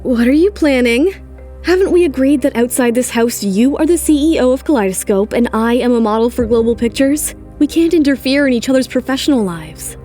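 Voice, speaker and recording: A woman's voice: high-pitched, expressive, fairly quick, mellow, faint music behind. A woman playing a confident young model in an audio drama, firm, self-possessed and defiant. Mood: wary.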